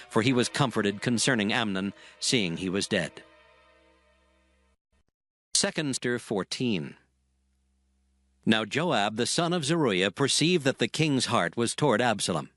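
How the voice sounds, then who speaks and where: Guy narrating the bible formally, middle pitch, faint music underneath. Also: a bit bright. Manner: solemn, formal. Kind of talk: scripted scripture narration.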